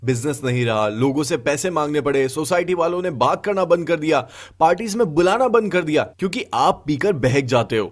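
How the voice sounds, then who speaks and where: A man voicing a hurt, pleading adult son in an animated story, speaking with earnest reproach. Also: a little high, brisk, a little hiss or hum, natural voice. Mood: reproachful, pleading. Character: hurt, pleading adult son confronting his drinking father. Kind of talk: animated story dialogue acting. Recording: background music under the voice.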